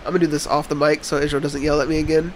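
A man's voice: on the high side, little pitch movement, racing, slight background noise. A man, congested, telling a laid-back story on a casual podcast. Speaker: podcast co-host. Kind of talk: casual chat.

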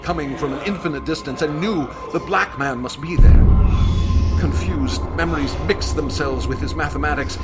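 A man's voice: on the high side, natural voice, poor audio. An actor playing a haunted young man recounting a friend's horrific death, in an intense radio drama style. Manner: intense, halting when distressed. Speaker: narrator and lead actor. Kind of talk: dramatic radio play narration. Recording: dramatic music under the voice.

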